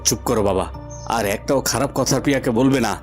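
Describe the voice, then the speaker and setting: Guy playing young village romantic hero, passionate and sincere, mid pitch, music bed, cartoon. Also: fairly quick, close to an everyday voice. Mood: resolute. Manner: impassioned, earnest. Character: earnest young lover, village headman's son. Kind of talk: cartoon voice acting.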